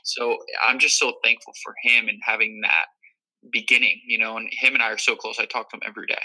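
Young male interview guest from Miami, very bright tone, earnest and reflective, lots of fillers. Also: on the low side, flat delivery, fast talker, rough recording. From Miami, Florida. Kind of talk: casual interview talk.

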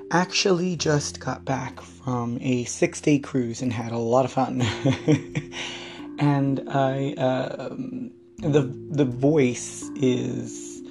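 A man hosting a reflective spiritual podcast, his voice hoarse and weakened, talking in a slow, wandering way. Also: mid-range voice. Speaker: podcast host. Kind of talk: reflective solo podcast talk.

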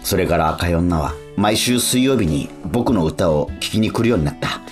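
A man's voice: low-pitched, animated, normal speed, faint music behind. An actor playing an awkward, bewildered male musician in a radio drama, hesitant and prone to stammering. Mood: reflective. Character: awkward, bewildered young male street musician narrating his story. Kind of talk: radio drama acting and narration.

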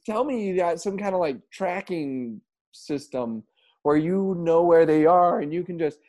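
A man's voice: on the high side, a little slow, a little mellow, lo-fi sound, close to an everyday voice. A man voicing a nervous, stammering young man in an improvised audio comedy. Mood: flustered. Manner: stammering, flustered.

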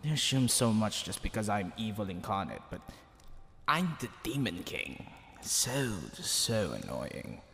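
A man voicing a smug, flirtatious demon king in a theatrical ASMR roleplay, teasing the listener, with creaky tones that drop into breathy whispers. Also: fairly low pitch, big pitch swings, fairly bright tone, exaggerated voice. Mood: exasperated disdain. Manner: theatrical, drawn-out, teasing delivery. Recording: long hall reverb, background hiss and ambience.

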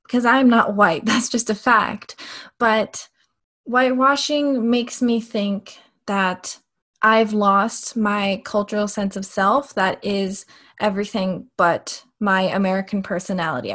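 Thoughtful young woman, measured speech. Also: on the high side, fairly bright tone. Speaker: podcast co-host. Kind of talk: casual chat.

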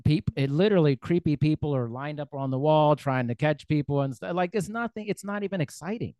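A man hosting a podcast, talking in a relaxed, rambling way with frequent fillers. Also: a little high, a little fast, no room sound. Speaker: podcast host. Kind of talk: casual podcast conversation.

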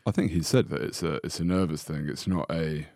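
A man's voice: extremely low pitch, fairly quick, a little mellow, no room sound, clean studio sound. A man co-hosting a casual fan podcast, opinionated and heated, with frequent restarts when he gets going. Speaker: podcast co-host.

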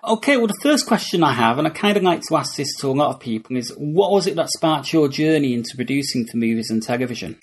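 A man's voice: on the high side, animated, fast, hardly any pauses, slight background noise. A man hosting an interview show, hesitant with many ums and restarts. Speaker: podcast host.

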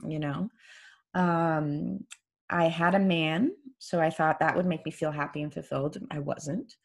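Casting: gravelly, low woman in her 40s, Farsi her first language, lively chat host who swears casually. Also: a little slow. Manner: animated, laughs often, swears casually. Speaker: author and podcast host. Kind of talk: casual conversational interview.

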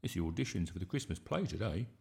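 A man's voice: low voice, lively intonation, fast talker, creaky, a little mellow. A scrappy young schoolboy from a children's storybook, played by a man. He is cheeky and stubborn, speaking in blunt, insistent phrases with plenty of up and down. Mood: eager, insistent. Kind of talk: children's audiobook character voice.

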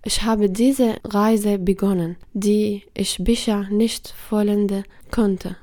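Woman reading a personal story out loud, real slow and earnest, warm low tone. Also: steady tone. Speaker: reader.

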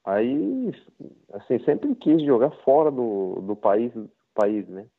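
A man whose first language is Japanese, speaking halting Portuguese with a Japanese accent and many fillers in a casual interview. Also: medium pitch, big pitch swings, gravelly, breathy edge. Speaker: interviewed footballer. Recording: tinny phone-line sound.

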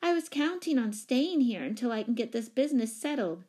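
A woman voicing a firm, businesslike mother with a crisp, direct and insistent manner in a storybook reading. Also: a little high, lively intonation, moderate pace, natural voice. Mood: dismayed.

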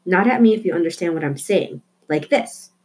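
A woman voicing an earnest, excitable young girl in a storybook, explaining things eagerly and emphatically. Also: on the low side, fairly quick, husky. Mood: hopeful, coaxing. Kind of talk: storybook character voice.

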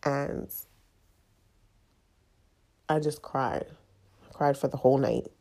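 A woman hosting her own podcast, congested and a little nasal from a cold, talking candidly in a halting, confessional way. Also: rumbling low, flat delivery, warm tone. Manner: halting, confessional, with frequent fillers. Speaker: podcast host. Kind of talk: candid personal monologue.

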